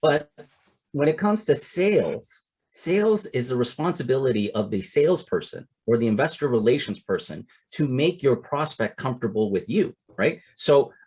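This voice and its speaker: A man's voice: mid-range voice, sing-song, moderate pace, darkish tone, husky. A middle-aged man, coaching an audience on finding investors. He speaks casually, often restarts his sentences and tags them with "right?". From Canada. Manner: conversational, repeats words and restarts, tags "right?". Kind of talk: seminar talk.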